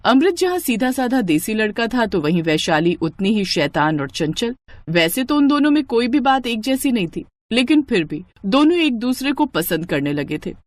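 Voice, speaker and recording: Animated woman telling a story. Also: fairly high pitch, moderate pace, no room sound. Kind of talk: scripted cartoon story narration.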